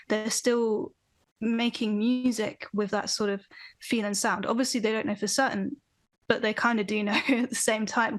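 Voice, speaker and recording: Young woman guest, slightly high voice, animated and thoughtful, repeats herself as she thinks, webcam audio from a home room. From Britain. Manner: thoughtful, animated, many restarts. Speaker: podcast guest, band vocalist. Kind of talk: casual interview chat. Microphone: video call, webcam.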